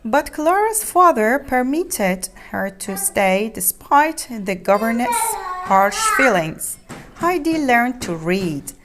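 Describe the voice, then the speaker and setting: A woman reads a children's story aloud, haltingly, repeating and explaining words as she goes. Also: on the high side, very animated, fairly bright tone, slight background noise. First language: not English.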